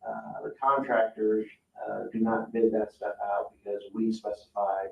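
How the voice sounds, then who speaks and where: A man's voice: lowish voice, monotone, normal speed, gravelly. A man presenting to a board in an informal, explanatory way, sometimes hesitant in his phrasing. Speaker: town staff member presenting to a board. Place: indoor public meeting room. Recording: muffled, echoey meeting room, distant mic, hiss.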